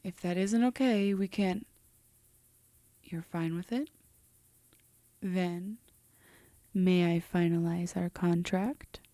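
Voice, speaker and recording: A woman playing a composed, teasing, possessive female demon in a roleplay audio drama, speaking in a measured, softly haughty way that slips into whispers. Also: lowish voice, steady tone, no room sound. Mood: soft, intimate. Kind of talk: roleplay audio drama, intimate slow delivery.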